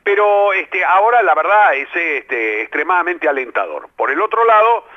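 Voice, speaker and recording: A man's voice: sits high, moderate pace. An older man with a Rioplatense accent, a playwright and theatre director answering radio interview questions in a reflective way, with many fillers. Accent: Rioplatense Spanish. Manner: reflective, lively, filler-heavy. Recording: lo-fi radio broadcast.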